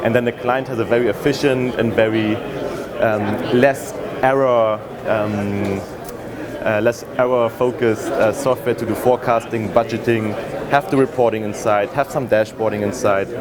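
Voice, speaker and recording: A man's voice: on the low side, normal speed. German-accented man amid crowd chatter. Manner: relaxed, many fillers like um and uh. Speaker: interviewee, business development head. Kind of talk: conversational interview.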